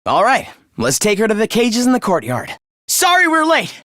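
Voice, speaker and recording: A man playing an earnest, caring teenage student leader in an anime-style video game, with a youthful, expressive voice and polite delivery. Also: sits high, moderate pace, no echo, clean studio sound, exaggerated voice. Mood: urgent. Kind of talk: animated game voice lines, anime-style dub acting.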